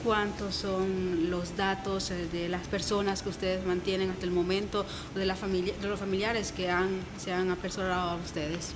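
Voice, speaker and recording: A woman's voice: medium pitch, flat delivery, moderate pace, hardly any pauses, background noise. A woman field reporter asking formal questions, addressing her guest by title. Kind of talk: news interview. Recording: loud outdoor ambience.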